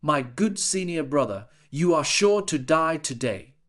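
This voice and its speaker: A man's voice: a little high, expressive, a little slow, a bit bright. A man voicing an arrogant male sect lord who mocks and gloats over his rival. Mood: gloating. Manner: mocking, gloating.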